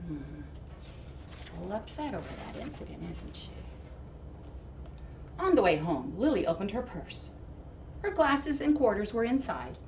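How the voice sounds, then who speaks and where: A woman reading a children's picture book aloud expressively, with dramatic emphasis and hushed asides to her listeners. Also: a little high. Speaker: storybook reader. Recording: distant mic, faint background noise.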